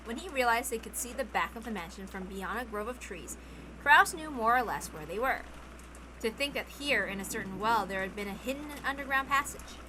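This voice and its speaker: A woman's voice: on the high side, background noise. A woman narrating a visual novel as a dramatic scripted reading.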